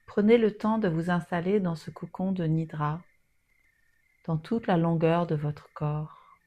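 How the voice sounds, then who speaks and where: A woman leading a guided yoga nidra meditation in a soothing, soft manner with long pauses. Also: fairly low pitch, steady tone, muffled recording.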